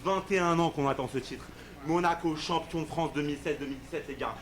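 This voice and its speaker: A young man on a chat show panel, teasing and repeating himself in rapid banter. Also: a little high. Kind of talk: casual banter.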